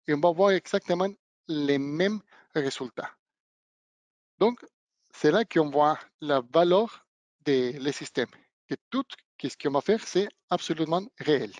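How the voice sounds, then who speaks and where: A man who is not a native speaker of the language, giving a webinar demonstration of training equipment and pausing often to search for words. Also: on the high side, expressive, no echo, dull audio. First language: not French. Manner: halting, searching for words, non-native phrasing. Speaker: product trainer.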